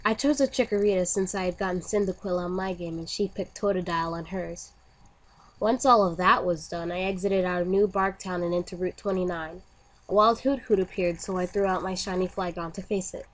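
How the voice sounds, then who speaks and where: A woman narrating a first-person horror story in an expressive, dramatic way. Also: fairly low pitch, fairly quick, low-quality recording. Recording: music under the voice. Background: music.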